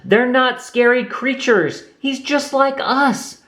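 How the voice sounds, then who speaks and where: A man's voice: slow, fairly bright tone, exaggerated voice. A man voicing an earnest young boy kangaroo in a storybook reading. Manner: high, pleading.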